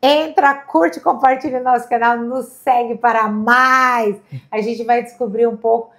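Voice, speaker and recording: A woman's voice: fairly high pitch, steady tone, normal speed, fairly bright tone. A woman hosting a podcast interview, asking questions and echoing her guest's answers back. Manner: asks questions, echoes the guest's words back. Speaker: podcast host. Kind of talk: casual interview.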